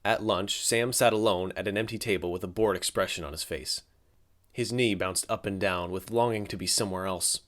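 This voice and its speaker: Low-voiced young man narrating. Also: fairly even intonation, fairly quick, a bit bright. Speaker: audiobook narrator. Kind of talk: scripted audiobook narration.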